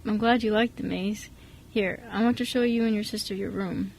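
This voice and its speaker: A woman playing a kind, soft-spoken adoptive father, hesitant and reassuring, in an amateur radio drama. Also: medium pitch, steady tone, normal speed, vocal fry, slight background noise, natural voice. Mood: warm, reassuring. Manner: hesitant, reassuring, mild stammer.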